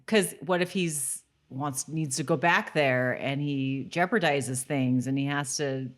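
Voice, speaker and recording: A woman's voice: a bass voice, a little slow. A woman co-hosting a podcast, chatting in a casual, conversational and informal way. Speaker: podcast co-host. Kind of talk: casual TV rewatch podcast chat.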